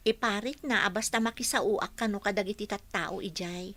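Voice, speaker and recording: A woman's voice: medium pitch, normal speed, bright, forceful tone, no room sound. A woman acting a dutiful, self-assured young housekeeper in an Ilocano radio drama. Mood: earnest. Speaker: voice actress in a radio play.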